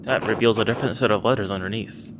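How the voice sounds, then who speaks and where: A man's voice: lowish voice, fast. A man casually commenting while he plays a narrative game, curious and thinking aloud. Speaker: let's play narrator. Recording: clear background noise.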